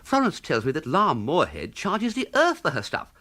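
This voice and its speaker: An actor playing an amiable, slightly dithering young upper-class English gentleman in a radio comedy, breezy and chummy. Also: fairly high pitch, wildly swooping pitch, normal speed, exaggerated voice. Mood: breezy, curious. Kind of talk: radio comedy drama acting.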